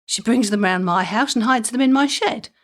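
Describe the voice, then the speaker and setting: A woman's voice: fairly high pitch, moderate pace, lightly acted. A woman playing a chatty, wry mother with a mischievous streak in an audio drama comedy, speaking conversationally with dry timing. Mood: mischievous storytelling.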